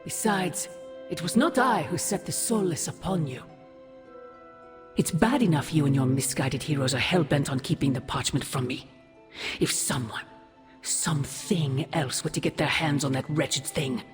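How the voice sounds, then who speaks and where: A woman's voice: deep voice, moderate pace, close to an everyday voice. Woman acting imperious sorceress, cavernous reverb. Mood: scornful. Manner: archaic, theatrical, grave phrasing. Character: imperious sorceress mother, scornful and commanding. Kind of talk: dramatic video game acting. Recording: long cavernous reverb over music.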